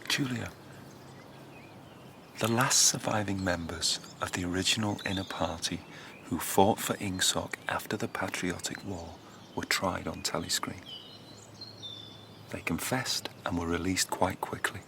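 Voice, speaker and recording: Earnest haunted rebel, radio drama, intense confiding delivery, deepish voice, noisy birdsong ambience. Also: male voice, unhurried, creaky. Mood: urgent, confiding. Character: earnest, haunted male dissident, hungry for rebellion. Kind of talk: naturalistic radio drama acting.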